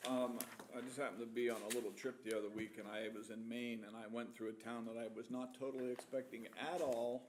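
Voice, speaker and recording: A man's voice: mid-range voice, level tone, quick pace, few pauses, noisy background. A man, the mayor, giving a chatty, rambling report at a borough council meeting.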